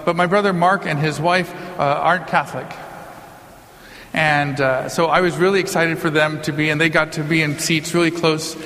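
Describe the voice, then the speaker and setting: A man's voice: a little high, fairly even intonation, moderate pace, bright, forceful tone. A man giving an informal homily as a priest, conversational and given to joking asides. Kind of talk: informal sermon. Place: large church or hall, live before a congregation. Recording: reverberant hall, long echo.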